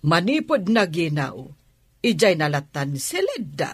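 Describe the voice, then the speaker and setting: A woman's voice: deep voice, very animated, unhurried, slightly rough, no room sound, clean studio sound, heavily acted. A woman playing a fretful, conspiratorial schemer confiding in a friend in an Ilocano comedy radio drama, with an Ilocano accent. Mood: anxious scheming.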